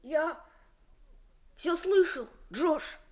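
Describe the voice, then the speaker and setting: A woman's voice: extremely high pitch, expressive, very slow, darkish tone, heavily acted. A woman voicing a small boy who pleads with his older brother in an old radio play. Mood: pleading. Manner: pleading, childlike. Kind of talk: 1960s Soviet radio drama acting.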